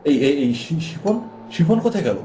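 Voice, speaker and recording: A man's voice: a little high, big pitch swings, normal speed, warm tone, a little hiss or hum. A man voicing a panicky, frightened young villager in a horror cartoon, stammering and repeating his words. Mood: alarmed. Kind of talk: animated horror story acting. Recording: music behind the voice.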